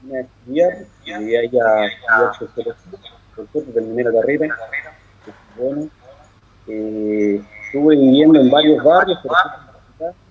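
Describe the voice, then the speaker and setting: A man from Chile in his thirties, a guest in a live interview, talking in halting, self-correcting phrases. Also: medium pitch, husky. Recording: dull lo-fi audio.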